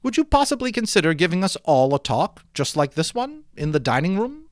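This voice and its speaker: A man voicing a friendly, curious gentleman in a storybook, speaking in a polite, enthusiastic, expressive way. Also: high-pitched, brisk, dry recording, studio quality, voice pushed up. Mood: excited.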